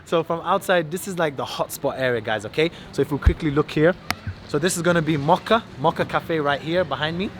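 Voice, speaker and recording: Clear street and traffic noise runs behind a British man with a fairly high voice. He talks like an informal tour guide, listing and explaining things in a chatty, casual manner. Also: fairly quick. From United Kingdom. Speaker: local guide. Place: outdoors on city streets and in vehicles. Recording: noisy outdoor street and traffic.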